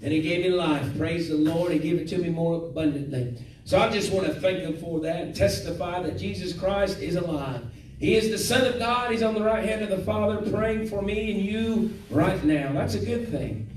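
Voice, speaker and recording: Pastor, thirties, fervent revival-style delivery, fairly high voice, heard from afar in an echoey sanctuary. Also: male voice, normal speed, darkish tone, a little hiss or hum. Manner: fervent, preacherly, frequent praise-the-Lords. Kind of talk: church service testimony time. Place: small church sanctuary. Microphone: handheld mic, filmed by far camera. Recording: echoey church from a distance.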